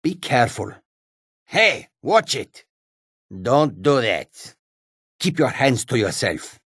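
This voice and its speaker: Gravelly cat-folk fantasy warrior. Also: male voice, medium pitch, big pitch swings, slow, a bit bright, dry recording, clean studio sound, full character voice. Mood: warning. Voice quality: gravelly, raspy. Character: male Khajiit, wary, combative cat-folk fantasy game character. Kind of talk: video game character barks.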